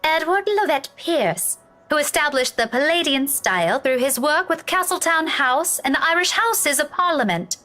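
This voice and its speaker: A woman's voice: high voice, expressive, normal speed, sharp tone, faint music behind. An actress playing an assertive, learned young woman in a video game, speaking precisely with polite stubbornness. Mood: defiant, knowing. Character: assertive, learned young redheaded female rival.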